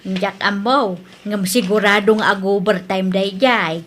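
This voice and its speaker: A woman's voice: medium pitch, steady tone, unhurried, a bit bright, a little hiss or hum, lightly acted. A woman with an Ilocano accent acting a plain-spoken middle-aged mother who answers in a matter-of-fact way.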